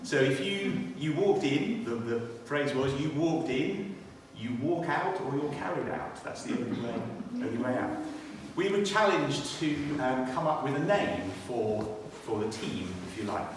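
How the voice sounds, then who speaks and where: A man's voice: medium pitch, animated, a little slow, few pauses. A British man giving a personal testimony and scripture reading in church, speaking in a reflective, somewhat hesitant way. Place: inside a church. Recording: echoey church hall, distant, faint noise.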